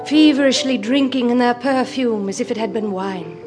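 A woman narrating a radio drama in a measured, descriptive way. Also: on the high side, over music. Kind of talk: scripted narration.

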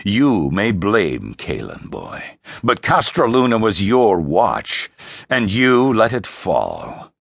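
A man voicing a cold, commanding old king who speaks slowly and sternly to his son. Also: lowish voice, huge pitch swings, gravelly, fairly bright tone, no echo, studio quality, voice pushed up, heavily acted. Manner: slow, measured, commanding.

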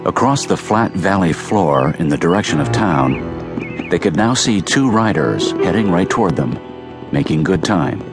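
A man's voice: sits low, animated, measured pace, music in the background. A man narrating a dramatized western audiobook as scripted storytelling.

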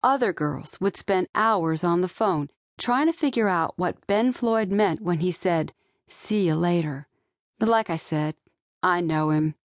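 A woman narrates a young-adult audiobook in the first person. Her voice sits fairly low. The recording is dry, with very little room sound. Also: expressive, unhurried, dry recording. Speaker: author narrating own audiobook. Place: dry studio.

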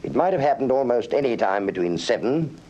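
Senior British policeman, lively intonation, mellow tone, courteous but commanding, radio detective drama, slightly muffled recording. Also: mid-range voice, brisk. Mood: businesslike. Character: senior police chief, gentlemanly, authoritative, affable. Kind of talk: full-cast radio crime drama acting.

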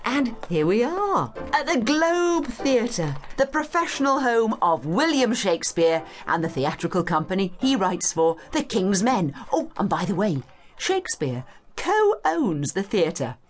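A woman's voice: medium pitch, a little slow, soft background music. A woman playing a knowledgeable, encouraging tour guide in a scripted educational audio drama, with a British accent and a teacherly manner. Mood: informative, encouraging. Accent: British English. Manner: expressive, clear explanatory delivery, teacherly. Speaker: guide and narrator.

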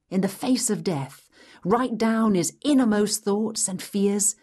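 A woman's voice: medium pitch, darkish tone. Welcoming female history guide. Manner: clear, explanatory. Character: welcoming guide through history, the Past Master. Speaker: narrator. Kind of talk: scripted educational narration.

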